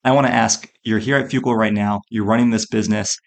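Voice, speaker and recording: Male podcast host, low voice, interviewer delivering introductions and questions. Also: fairly even intonation, fast talker, gravelly.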